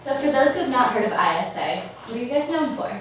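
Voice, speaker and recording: A woman interviewing students for a student news show, with quick upbeat reactions. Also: high voice, lively intonation. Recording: echoey room, mic far off, hiss.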